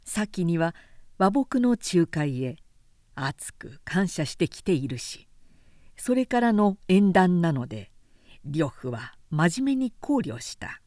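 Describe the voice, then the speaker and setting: A woman reading a historical novel aloud as a narrator, in a measured, deliberate storytelling manner. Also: fairly low pitch, very animated, darkish tone, no room sound, studio quality. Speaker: narrator, literary reader.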